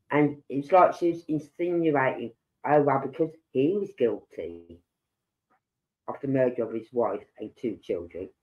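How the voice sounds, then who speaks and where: Slow-talking man with a head cold. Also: medium pitch. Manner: slow, rambling, with restarts. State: head cold, sore throat. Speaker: livestream host. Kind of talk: casual livestream chat. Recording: dull lo-fi sound.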